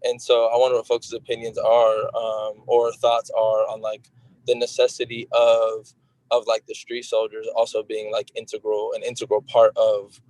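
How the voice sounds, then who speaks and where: A man's voice: on the low side, monotone, moderate pace, a little mellow, dry recording, rough recording. A man talking in a casual group discussion, tentative and hedging, peppering sentences with filler words. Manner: tentative, hedging, frequent "like" and "right".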